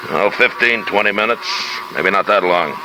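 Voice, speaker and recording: An actor playing a blunt, quick-tempered schooner skipper in an old-time radio drama. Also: male voice, on the low side, close to an everyday voice. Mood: gruff, guarded. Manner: blunt, irritable, curt. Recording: noisy vintage radio drama recording.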